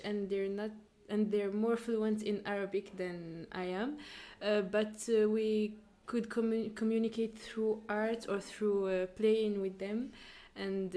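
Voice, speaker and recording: A woman's voice: mid-range voice, warm tone. A young woman volunteer from France giving reflective interview answers, hesitant and searching for words. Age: young adult.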